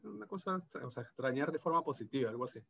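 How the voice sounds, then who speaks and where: A man's voice: mid-range voice, expressive, racing, creaky, fairly bright tone, breathy edge. A man in his thirties from Venezuela with an Andean gocho accent, a guest talking casually and hesitantly, with many restarts. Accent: Venezuelan Andean (gocho) Spanish. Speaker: interview guest. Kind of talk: casual video interview.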